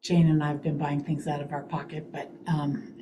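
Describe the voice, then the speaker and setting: A woman giving a loud, chatty update on senior events at a city committee meeting. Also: sits low, flat delivery, moderate pace, echoey room, noisy background. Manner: loud, chatty, laughs often. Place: city hall meeting room.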